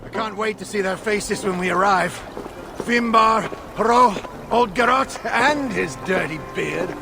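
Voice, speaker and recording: A man's voice: sits high, a little slow, creaky, over music. A man playing a wry, bickering dwarf rebel in a video game, talking in a dry, teasing, grumbling manner. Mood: hearty. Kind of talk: conversational video game acting.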